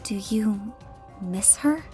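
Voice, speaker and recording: A woman's voice: medium pitch, lively intonation, slow, dark tone, soft background music. A woman playing a defiant young captive girl in a game parody, talking in a casual, blunt, sardonic way. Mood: curious, sympathetic. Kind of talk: scripted animated game parody dialogue.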